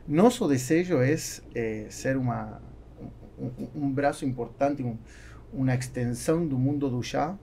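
An Argentine man with a creaky, mellow voice and native Spanish answers as a podcast guest. He searches for words and slips between Spanish and Portuguese, with noticeable noise behind him. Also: medium pitch, a little slow. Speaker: podcast guest, business owner. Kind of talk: conversational interview answers. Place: small acoustically treated podcast studio. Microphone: studio microphone on boom arm.

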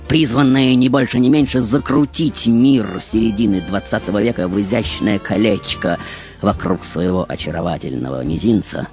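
A man's voice: on the low side, close to an everyday voice. A man playing a seductive, self-admiring film diva, telling her story on a radio show in a theatrical, ironic, grandiose manner.

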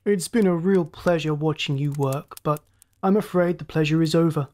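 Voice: medium pitch, normal speed, a little mellow, lightly acted. A narrator voicing a cynical giant of a pirate captain with smug, mocking courtesy in a science fiction story. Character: cynical swarthy giant pirate ship master, gloating.

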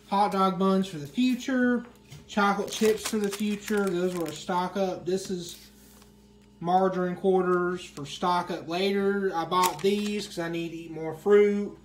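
A man casually showing his grocery haul item by item, speaking in a plain, matter-of-fact way into a handheld phone camera at home. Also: high voice. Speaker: vlogger. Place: small indoor room at home. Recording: echoey room with faint hiss.

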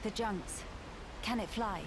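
Woman doing posh reserved young british noble lady, measured n slow, noisy game background. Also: mid-range voice, natural voice. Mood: composed, wary. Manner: measured, formal. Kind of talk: video game cutscene acting.

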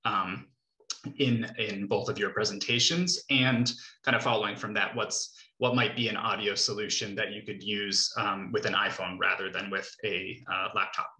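Bright-voiced man moderating, roomy sound. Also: on the low side, fairly even intonation, moderate pace. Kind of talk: moderated panel discussion. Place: small home room with couch, indoors. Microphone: video call, laptop at desk distance. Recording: roomy, echoing video call audio.

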